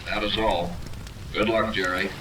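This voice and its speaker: Actor as a low, echoey radio operator. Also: male voice, flat delivery, measured pace, natural voice. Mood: businesslike. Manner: clipped, procedural. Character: businesslike male radio operator on a distant set. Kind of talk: 1930s radio serial acting. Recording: echoey, distant, noisy old radio transmission.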